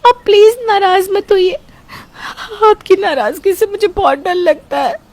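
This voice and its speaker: A woman's voice: very high-pitched, unhurried, darkish tone. Woman playing a scheming, whiny young wife. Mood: whining. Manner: theatrical, whining, fake tearful. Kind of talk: cartoon storybook voice acting.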